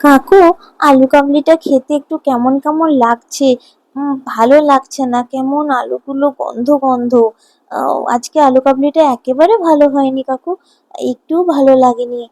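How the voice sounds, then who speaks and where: A woman's voice: sits high, steady tone, normal speed, darkish tone, no echo. A woman voicing a fussy, outspoken little boy in a cartoon, plaintive and questioning. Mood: disappointed. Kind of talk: Bengali cartoon morality tale acting.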